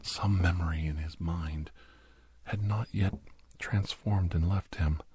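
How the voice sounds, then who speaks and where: A man tells a horror story in breathy ASMR whispers, solemn, dramatic and deliberate, close to the microphone. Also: low voice, steady tone, background noise. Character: male doctor solemnly recounting a werewolf horror tale.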